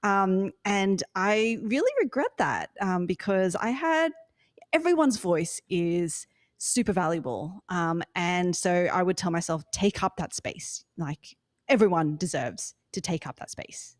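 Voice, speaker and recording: A woman's voice: on the low side, lively intonation, measured pace, dry recording. Bubbly Australian woman, dry close sound. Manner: bubbly, laughs easily, chatty. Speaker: company founder and guest. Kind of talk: casual interview talk.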